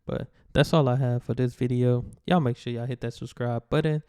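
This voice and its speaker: A man's voice: medium pitch, steady tone, a little fast, darkish tone, clear, resonant tone, no echo, studio quality. A young American man hosting a reaction video, talking in a chatty, rambling and enthusiastic way. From United States. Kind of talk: casual reaction commentary.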